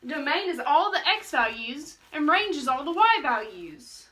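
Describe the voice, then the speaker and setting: A woman's voice: sits high, sing-song, bright, forceful tone, exaggerated voice. A woman playing a bossy, bargaining wizard who teaches math in a student puppet show. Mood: instructive. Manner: brisk, instructive, impatient.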